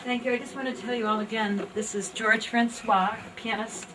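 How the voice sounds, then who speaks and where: A woman's voice: fairly high pitch, fairly even intonation, moderate pace. A woman hosting a live event, speaking informally to the people gathered and to the performers. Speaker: event host. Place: library event room, from a distance. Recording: distant recording, very noisy room ambience.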